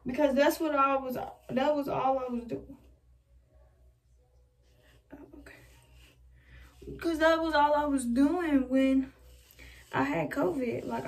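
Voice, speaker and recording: Young adult woman vlogger, rambling casual chat, slightly high voice, picked up from a few feet away by the camera. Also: darkish tone. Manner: rambling, chatty, talks while styling her hair. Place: small bedroom indoors. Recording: voice a bit far from the mic.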